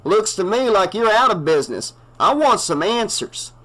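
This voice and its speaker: A man voicing an angry small-town bartender who shouts defiantly. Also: high voice, very animated, moderate pace, voice pushed up, exaggerated voice, poor audio.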